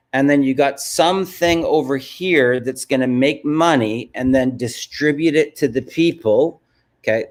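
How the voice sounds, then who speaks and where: A man's voice: mid-range voice, darkish tone. Emphatic man hosting a live stream. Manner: emphatic, repeats "okay?" to check in with viewers. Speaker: host of a live business stream. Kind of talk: live stream chat answering viewer comments.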